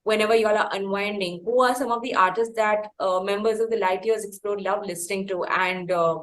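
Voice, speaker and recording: A woman's voice: medium pitch, steady tone, a little fast, fairly bright tone. A woman with an Indian accent hosting a conversational interview, speaking in an effusive, wordy way. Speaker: interviewer, host.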